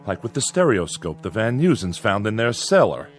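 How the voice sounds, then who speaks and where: An actor playing an anxious middle-aged small-town father in a radio drama, folksy and halting, placating his feared son with forced cheer. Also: on the low side, big pitch swings, faint music behind. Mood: weary. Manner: folksy, rustic grammar.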